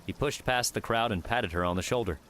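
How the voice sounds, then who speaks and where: Deep-voiced man narrating an audiobook. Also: brisk, a bit bright. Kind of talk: scripted narration.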